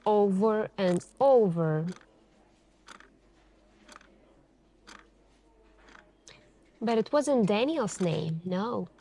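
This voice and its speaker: A woman playing a calm, insistent police detective in an ASMR interrogation roleplay, speaking in a hushed, deliberate way with pauses between short phrases. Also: on the high side. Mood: quietly probing.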